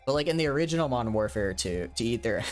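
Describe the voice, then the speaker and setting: A man's voice: medium pitch, expressive, fast talker, darkish tone, faint music behind. A young man in his twenties, live-streaming a game and chatting casually, rambling with lots of restarts and fillers. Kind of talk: casual live-stream chat while gaming.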